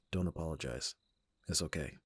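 A man playing an abrasive young bodyguard who is secretly soft, in an ASMR roleplay audio drama, with gruff, protective exasperation. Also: very deep voice, moderate pace, dry recording. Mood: concerned, gentle.

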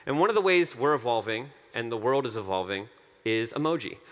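A man's voice: mid-range voice, sing-song, brisk, fairly bright tone. Keynote speaker: man in his thirties, earnest and upbeat, mid-range voice, headset mic on a big stage. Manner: earnest, enthusiastic, repeats phrases for emphasis. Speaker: company co-founder giving a keynote. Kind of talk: conference keynote speech. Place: large dark conference stage.

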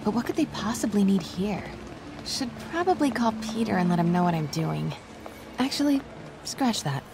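A woman's voice: medium pitch, expressive, normal speed, noisy background, lightly acted. A woman acting a determined, easily exasperated young female reporter in a naturalistic video game style, earnest and sometimes sarcastic. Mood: curious. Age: young adult.

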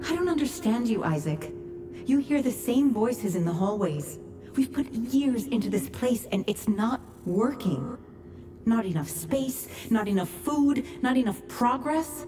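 A woman's voice: fairly high pitch, lively intonation, measured pace. A woman acting a frustrated, pleading colonist who challenges leadership, earnest and argumentative, in a video game cutscene. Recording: distant mic, music behind.

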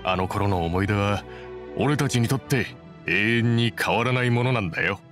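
A man's voice: fairly low pitch, moderate pace, fairly bright tone, faint music behind, natural voice. An actor playing a modest, dependable craftsman in an anime game, speaking in a casual, sincere and plain-spoken way. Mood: wistful. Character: modest, dependable adult male boat builder in anime game.

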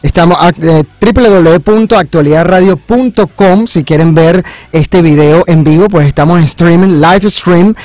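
A male radio host with a higher-than-average voice conducts a conversational interview. He sounds enthusiastic and repeats phrases for emphasis. The studio sound is close and dry. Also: normal speed, few pauses, darkish tone, no echo. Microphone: studio microphone on boom arm, headphones.

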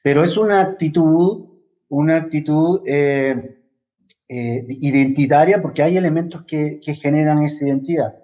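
Halting, reflective middle-aged man, mellow voice. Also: on the high side. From Venezuela and Chile. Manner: reflective, halting, often adds "¿ya?" tags. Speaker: interview guest, academic researcher. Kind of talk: conversational interview answers. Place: plain office, indoors. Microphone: wired earphones on a video call.

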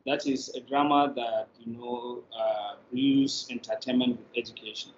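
A man's voice: mid-range voice, steady tone, normal speed. A middle-aged man with a Nigerian accent explaining his work as an interview guest on a video call. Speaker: general manager, interview guest. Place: indoors in an office room on a video call. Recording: dull, echoey, faintly noisy video call.

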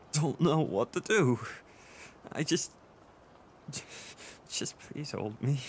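A man playing an insecure, tearful boyfriend who seeks comfort in an intimate audio roleplay, speaking in a halting, stammering way. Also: mid-range voice, creaky, slight background noise. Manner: halting, stammering, with nervous soft laughs. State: emotional, tearful.

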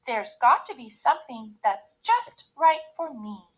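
A woman voicing a brash, fussy little girl in a storybook, with dramatic exclamations and drawn-out complaints. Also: a little high, very animated, fairly bright tone, full character voice. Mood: grumbling, exasperated.

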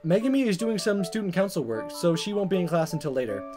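A man's voice: sits high, sing-song, fast. A man voicing a mild, level-headed teenage schoolboy in a visual novel, speaking in a placating way. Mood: calm, reluctant. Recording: guitar music behind.

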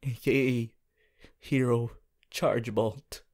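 A man voicing a goofy, melodramatic teenage anime boy in a fan audio roleplay, whiny and drawn-out, talking to the listener. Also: medium pitch, fairly even intonation, a little mellow, close to an everyday voice. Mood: melodramatic despair.

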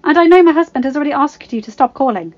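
A woman's voice: high-pitched, big pitch swings, fast, dry recording, voice pushed up. A loving, protective mother from a storybook, played by a woman narrator. Her voice is raised and nervous, yet firm. It sounds slightly muffled, with music underneath.

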